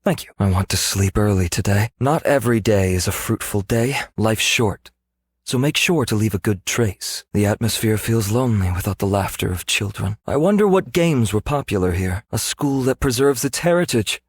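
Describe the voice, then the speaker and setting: A male actor voicing a scholarly, world-weary archaeologist in a video game, speaking in a measured, reflective, formal way. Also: fairly low pitch, animated, husky, no echo, studio quality. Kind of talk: scripted game character voice lines.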